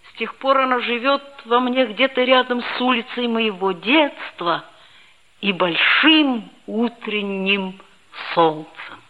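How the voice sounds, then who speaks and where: An actor playing a gloomy, self-pitying schoolboy of about thirteen, narrating earnestly in a 1960s Soviet radio play. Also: male voice, very high-pitched, slow pace. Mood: wistful, reflective. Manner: earnest, dramatic, reflective. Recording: dull old radio-play tape with loud music.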